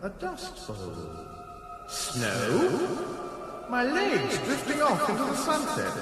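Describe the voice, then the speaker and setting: A man's voice: extremely high pitch, wildly swooping pitch, slow, exaggerated voice. An actor playing a bewildered, exasperated ordinary Englishman in a radio sci-fi comedy. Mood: alarmed, baffled. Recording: echoey hall, loud effects and music.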